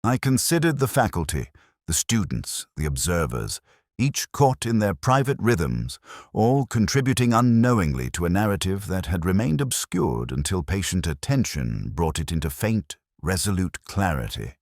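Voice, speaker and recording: A man narrating a first-person detective story in a measured, literary, contemplative manner. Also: low voice, big pitch swings, a little mellow, no echo, clean studio sound. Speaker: audiobook-style narrator.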